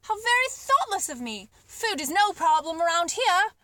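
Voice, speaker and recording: A woman acts out a grand storybook Duke, hearty and sure he knows everything. The delivery is emphatic and very high, with bright, swooping exclamations and a dry, close sound. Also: big pitch swings, moderate pace, no room sound, exaggerated voice. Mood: hearty, apologetic. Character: hearty, generous, know-it-all English Duke, grand gentleman.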